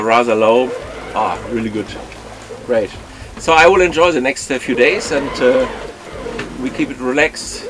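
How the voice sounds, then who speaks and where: A middle-aged man, a German native speaker, chatting casually to camera from a sailboat cockpit at sea, with plain wording and frequent pauses. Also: mid-range voice. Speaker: solo sailor vlogger. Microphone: camera mic at close range. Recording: wind and water noise at sea.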